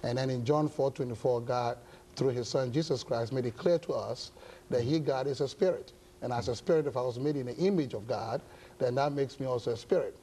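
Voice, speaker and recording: A middle-aged man with a Ghanaian accent, speaking as an interview guest in measured, hesitant phrases. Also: medium pitch, dark tone, husky. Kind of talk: studio interview, measured explanation. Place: indoor television studio set. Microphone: lapel mic.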